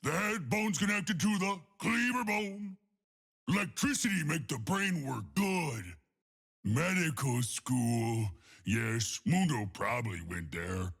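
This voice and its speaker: Game monster doctor: huge, dopey, menacing; rasping voice pitched high, drawn-out delivery. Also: male voice, animated, a bit bright, full character voice. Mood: dopey, musing. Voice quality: gravelly, rough. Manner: booming, broken childlike grammar, dopey. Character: huge dim-witted manic monster doctor, cheerfully menacing. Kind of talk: exaggerated video game character voice lines.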